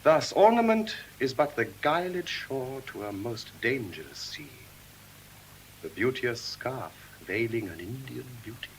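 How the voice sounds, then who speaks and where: An earnest young nobleman speaks Shakespearean verse in a polished RP English accent. His delivery is very slow and deliberate, with sweeping rises and falls in pitch. The vintage audio drama recording has a light hiss. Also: big pitch swings, poor audio. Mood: grave, reflective. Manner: measured, theatrical, deliberate declamation. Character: young Venetian lord, earnest romantic suitor. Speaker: stage actor. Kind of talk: classical Shakespearean verse acting.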